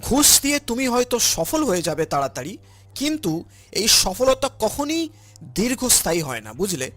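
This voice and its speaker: A man's voice: sits high, very animated, moderate pace, fairly bright tone, soft background music, heavily acted. A man voicing a principled older father in a cartoon, earnest and preachy as he admonishes. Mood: disappointed, scolding. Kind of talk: cartoon voice acting.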